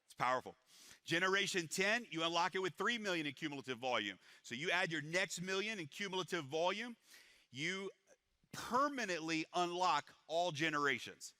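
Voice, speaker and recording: A man presenting a compensation plan at a live event, energetic and repeating how powerful it is. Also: moderate pace, no room sound. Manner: energetic salesman pitch, repeats "it's powerful".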